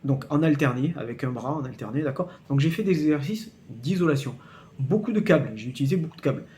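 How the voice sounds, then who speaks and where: A man's voice: fairly high pitch, expressive, quick pace, mellow. A man in his late forties talking casually to camera on his fitness channel, often hesitating, repeating words and checking in with the listener. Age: 40s. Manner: emphatic, cautionary tone when warning viewers. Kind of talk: casual explanatory vlog to camera.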